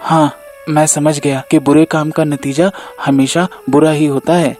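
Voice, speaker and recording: Man acting a high-voiced village man in a cartoon. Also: level tone, unhurried, a little mellow, soft background music, lightly acted. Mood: earnest. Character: men of a village moral tale, thief and priest.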